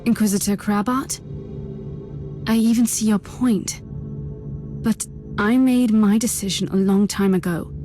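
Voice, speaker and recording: A woman's voice: medium pitch, fairly even intonation, measured pace, long pauses, over music, close to an everyday voice. An actress playing a grave, resolute woman, a living psychic weapon, brooding and solemn in a game cutscene. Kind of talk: dramatic game cutscene acting.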